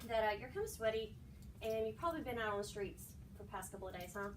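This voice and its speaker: A woman plays a placating female nurse in an amateur skit, with a high, bright voice. She coaxes hesitantly yet firmly, picked up at some distance in a noisy room. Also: animated, fast talker, natural voice. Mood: gently firm. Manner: coaxing, hesitant. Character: patient, placating woman nurse setting firm rules. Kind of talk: amateur training skit acting.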